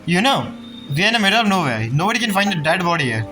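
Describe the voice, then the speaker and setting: A woman's voice: on the low side, very animated, fast, pushed and bright, soft background music. A woman doing casual, playful, blunt playthrough commentary as a game streamer, in short interjections. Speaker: game streamer reacting to dialogue.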